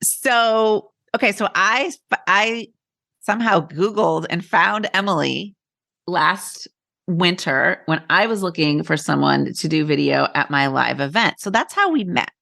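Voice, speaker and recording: A woman's voice: lowish voice, lively intonation, a little slow, vocal fry, sharp tone, no room sound, studio quality. A woman hosting a podcast, chatting informally in a casual, conversational interview. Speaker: podcast host, coach.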